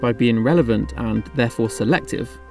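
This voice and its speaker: A man narrating his travel vlog in a measured, reflective scripted voice-over. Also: medium pitch, creaky, darkish tone, soft background music. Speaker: travel vlogger.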